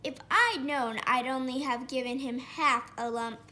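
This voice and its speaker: A woman's voice: sits high, unhurried, pushed and bright, a little hiss or hum. A woman voicing a stingy, grudging man from a storybook who grumbles as he talks. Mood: grumbling.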